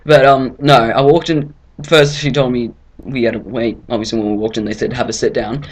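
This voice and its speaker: A man's voice: mid-range voice, fairly even intonation, fairly quick. A young man casually telling a comic story in a rambling, sarcastic way.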